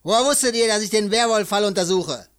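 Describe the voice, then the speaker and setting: An actor playing a cocky, hot-tempered special agent who wisecracks and threatens in an amateur pulp audio drama. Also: male voice, sits high, fast, fairly bright tone. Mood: angry, threatening.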